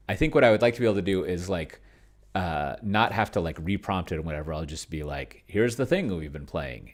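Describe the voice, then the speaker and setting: A man thinking aloud in casual commentary as he plays a roleplaying game, often muttering, trailing off and drawing words out while typing. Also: low-pitched. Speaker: solo tabletop roleplayer and video host. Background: computer keyboard typing.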